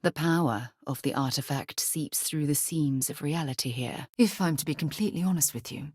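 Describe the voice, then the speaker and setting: A woman playing a principled, earnest space explorer and leader in a video game, a husky voice and articulate, formal delivery. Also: deep voice, normal speed, a little mellow, dry recording. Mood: composed, reflective. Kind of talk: scripted video game companion dialogue.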